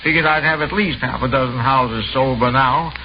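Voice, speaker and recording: An actor playing a harried, folksy housing developer in a 1950s radio drama. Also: male voice, mid-range voice, normal speed, close to an everyday voice. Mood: fretful. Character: harried, grumbling male housing developer under money pressure. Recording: muffled vintage radio recording, faint noise.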